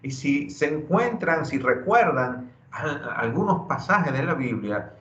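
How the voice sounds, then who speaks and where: A man giving a motivational workshop talk, earnest and preacher-like, slowing down to stress key phrases. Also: on the high side, big pitch swings, fairly bright tone. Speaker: workshop speaker. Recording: dull lo-fi audio in an echoey room.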